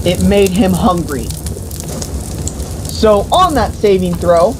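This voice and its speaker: A woman retelling a tabletop game as game master in a theatrical, mock-grandiose, crude comedic way. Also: medium pitch. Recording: noisy room with steady background hiss.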